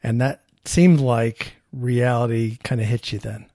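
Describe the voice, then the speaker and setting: A man's voice: mid-range voice, steady tone, unhurried, mellow, no echo, studio quality. A man hosting a podcast, prompting his guest in an easygoing way with casual fillers. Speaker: podcast host. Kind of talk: interviewer.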